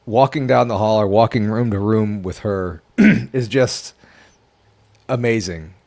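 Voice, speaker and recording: A man's voice: on the low side, a little slow, vocal fry, darkish tone. A man chatting casually as a guest paranormal investigator, repeating words and stumbling in an emphatic, stammering way. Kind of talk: casual storytelling chat.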